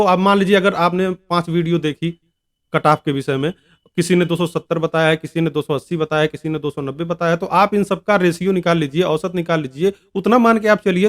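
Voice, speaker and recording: A man hosting an online video, explaining and advising viewers in an informal way, often repeating and rephrasing his points. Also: high-pitched. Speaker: YouTube exam-advice host.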